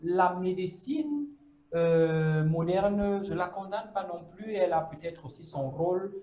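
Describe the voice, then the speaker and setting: A high-pitched man talks through an idea on a campus talk show. He keeps the tone mellow and stops often on fillers. The room around him rings with echo. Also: lively intonation, a little slow. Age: 30s. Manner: hesitant, many fillers, acts out quoted speech. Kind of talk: casual debate on a campus show. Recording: echoey room.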